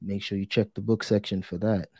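A man's voice: fairly low pitch, little pitch movement, brisk, mellow, husky, no room sound, clean studio sound. A man in his thirties hosting a podcast, chatting in an easygoing way into a headset and desk mic. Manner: easygoing, brief interjections. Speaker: podcast host. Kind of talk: casual chat.

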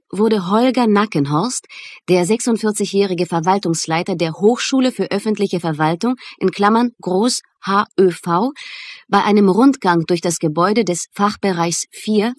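A woman acting as a businesslike newspaper journalist with a medium-pitched voice. She dictates copy crisply and matter-of-factly, as in a radio crime drama, in a very dry studio sound. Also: no room sound, natural voice. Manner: matter-of-fact dictation, clearly articulated.

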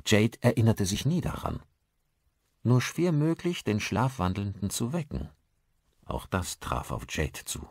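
A man's voice: lowish voice, very animated, measured pace, gravelly, darkish tone, breathy edge, no echo, studio quality. A man narrating a suspense novel as a scripted audiobook.

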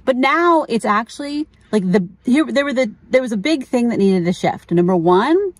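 A woman's voice: a little high, expressive, normal speed, no room sound. A middle-aged woman chatting casually as a vlogger, informal and candid, often thinking aloud. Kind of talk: casual chat.

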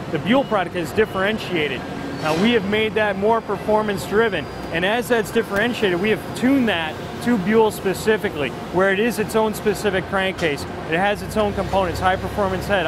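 A man's voice: high voice, normal speed, background noise. A man explaining manufacturing operations in a plain, matter-of-fact way, with the odd filler. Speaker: manufacturing manager. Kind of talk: explaining on camera. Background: music bed.

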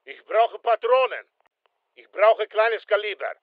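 A man's voice: high-pitched, lively intonation, unhurried, no room sound, narrow, tinny audio. A male actor playing a terse special forces soldier in a military shooter game, giving clipped, commanding callouts. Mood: terse, businesslike.

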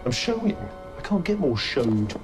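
Pompous talking cuff voiced by a man, wildly animated. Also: mid-range voice, moderate pace, close to an everyday voice. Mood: wry, smug. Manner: pompous, sardonic, theatrical. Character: pompous, chatty talking magic cuff, male, haughty. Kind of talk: theatrical video game voice acting. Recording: music behind the voice.

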